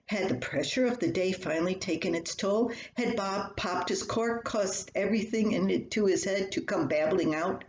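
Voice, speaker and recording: A woman's voice: medium pitch, moderate pace, few pauses. An older woman reads her own personal story aloud in a homespun, chatty way. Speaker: columnist reading own story. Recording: roomy echo, faint background noise.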